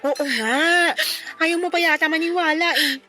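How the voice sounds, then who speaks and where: Bright, high-voiced frank best friend. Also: female voice, fairly even intonation, normal speed, soft background music. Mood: reassuring, chiding. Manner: matter-of-fact, lightly chiding, consoling. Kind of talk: Filipino radio drama acting.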